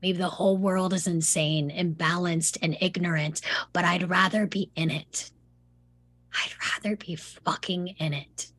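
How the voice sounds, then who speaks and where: Casting: actress as young female patient, low-pitched, defiant and hurt, dramatic table read. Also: fairly even intonation, unhurried, a bit bright. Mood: anguished, defiant. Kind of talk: live table read of a film script.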